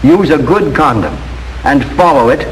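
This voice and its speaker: Higher-voiced 1940s American male film narrator. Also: lively intonation. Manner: formal, measured, instructive. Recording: noisy 1940s film soundtrack with hiss.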